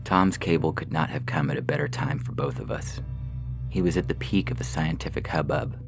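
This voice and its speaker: A man reading a science fiction short story as scripted literary narration, in a measured, formal way, with music behind him. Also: rumbling low, fairly even intonation, gravelly. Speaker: story reader. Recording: music bed under the voice.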